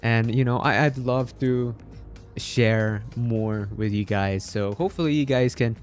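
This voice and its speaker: A man from the Philippines chatting casually on a livestream, halting and repeating his words, polite and appreciative. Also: medium pitch, soft background music. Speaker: game streamer. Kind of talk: casual livestream chat with viewers.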